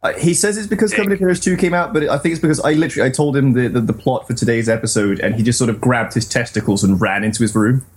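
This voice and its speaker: A man's voice: mid-range voice, rapid-fire, few pauses. A man co-hosting a podcast in casual, conversational banter. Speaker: podcast co-host.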